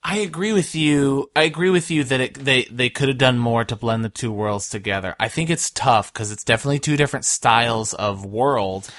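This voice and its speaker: A man co-hosting a podcast in casual chat, enthusiastic and rambling, his voice going hoarse. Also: medium pitch, fairly quick, hardly any pauses, a bit bright, no room sound, clean studio sound. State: losing his voice. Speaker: podcast co-host.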